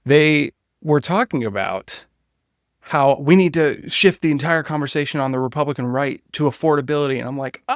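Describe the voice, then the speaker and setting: A man's voice: a little high, normal speed, dry recording, studio quality. A man co-hosting a political podcast, talking in a casual, informal and opinionated way.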